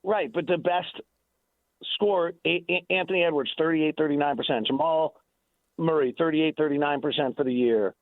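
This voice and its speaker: A man's voice: a little high, moderate pace, creaky, no echo. An American man doing unscripted sports analysis on radio, insistent and emphatic, often repeating himself, over a thin phone line. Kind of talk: unscripted sports debate and commentary.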